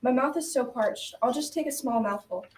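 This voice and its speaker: A woman's voice: sits high, normal speed, a little mellow, lightly acted. A young female student playing a wary, easily tempted knight in an amateur school radio drama, reading out the lines. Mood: self-justifying. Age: child or teen. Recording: echoey, distant mic, faint noise.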